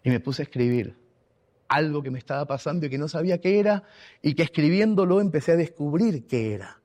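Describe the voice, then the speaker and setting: A man's voice: fairly high pitch, animated, fairly quick, a little mellow. A man from Argentina with an Argentine accent, telling a personal story in an emotional, emphatic way, repeating words for weight. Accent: Argentine Spanish. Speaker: radio contributor and writer.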